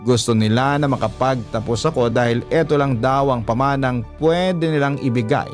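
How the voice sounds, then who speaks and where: A man narrating a listener's letter in a heartfelt, measured way. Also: medium pitch, faint music behind. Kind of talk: reading a listener's letter aloud.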